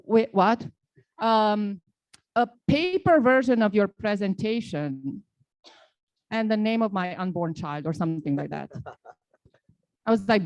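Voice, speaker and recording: A woman's voice: medium pitch, darkish tone, no room sound, lo-fi sound. A woman from Romania, a professor on a business panel, telling a story with rising, incredulous emphasis.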